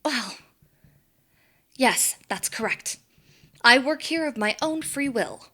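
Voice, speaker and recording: A composed, bright-voiced female boss. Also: sits high, animated, breathy edge, heavily acted. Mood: guarded. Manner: formal, measured, polite. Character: Ed, composed, polite, evasive woman boss. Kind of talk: audio drama acting.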